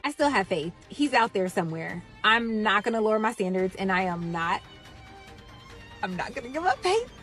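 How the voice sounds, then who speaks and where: A woman's voice: medium pitch, big pitch swings, normal speed, fairly bright tone, faint music behind. A woman talking casually to camera, rambling through a long list with lots of "like". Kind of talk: casual vlog talk to camera.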